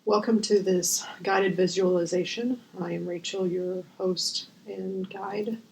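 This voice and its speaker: A woman guiding a visualization as host, gentle and unhurried with long pauses, her voice breathy and often near a whisper. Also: medium pitch, fairly even intonation, slight background noise, poor audio. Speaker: guided meditation host. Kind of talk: guided visualization, meditation.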